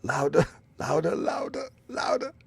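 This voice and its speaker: A man playing a guilt-crazed murderer in a horror story, frantic and paranoid, raving in husky, strained exclamations. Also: a little high, sing-song, a little slow, no room sound, pitched above the performer's own voice, full character voice. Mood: frantic paranoid agony. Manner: feverish, exclamatory, repeating words.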